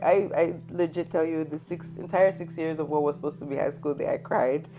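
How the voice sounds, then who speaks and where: A woman's voice: sits low, brisk, poor audio. A woman in her thirties from New York, hosting an interview over a video call and telling stories with lots of laughter. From New York, United States. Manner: animated storytelling, laughing often. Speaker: host. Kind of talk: casual interview chat.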